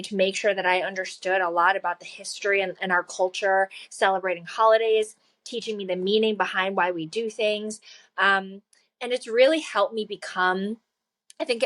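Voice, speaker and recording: A woman in her thirties chats as a podcast guest, earnest and upbeat. She laughs easily and fills her pauses with ums and uhs as she talks. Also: mid-range voice. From China. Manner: warm, earnest, laughs often, many fillers. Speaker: guest, children's book author. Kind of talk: casual interview chat.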